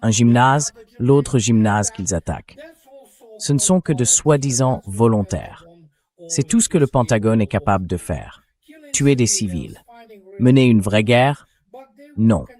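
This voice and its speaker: A man's voice: mid-range voice, lively intonation, unhurried, darkish tone. A man's synthetic voice dubbing a blunt, emphatic political commentator in an interview. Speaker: interview guest, translated voice-over.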